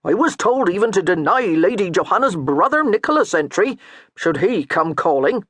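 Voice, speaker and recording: A man's voice: sits high, sing-song, moderate pace, a bit bright, no echo, heavily acted. A man voicing a patient, dutiful medieval steward who speaks earnestly. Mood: pleading.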